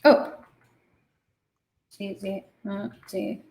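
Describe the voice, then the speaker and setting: A woman hosting a live coding stream, slightly hoarse, talking herself through the code with lots of fillers. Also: mid-range voice, little pitch movement, extremely slow, warm tone. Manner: thinking aloud while coding, many fillers. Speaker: host. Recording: echoey room.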